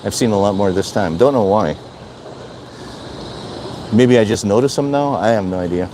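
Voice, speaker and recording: A man's voice: on the low side, normal speed, background noise. An older man from Wisconsin chatting casually on a live stream, rambling and repeating himself as he answers viewer comments. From Wisconsin, USA. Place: outdoor city balcony.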